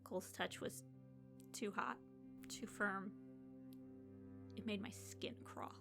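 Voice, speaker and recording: A woman's voice: medium pitch, fairly even intonation, slow pace, gravelly, over music. A woman playing a shaken but defiant young hospital patient who narrates her own story in an audio drama. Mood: tense, reflective. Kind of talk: audio drama acting with first-person narration.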